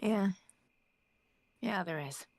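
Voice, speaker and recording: Casual woman player, mid-pitched voice. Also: extremely slow, no room sound. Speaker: tabletop game player. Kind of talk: casual chat.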